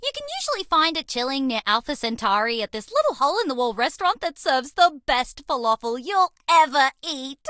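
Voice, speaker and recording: A woman's voice: high-pitched, big pitch swings, a little fast, few pauses, bright, forceful tone, dry recording, studio quality, heavily acted. A woman voicing a sweet, eager young female alien mouse in a dating game, bubbly, earnest and quirky. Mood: chirpy, playful. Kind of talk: dating game character voice lines.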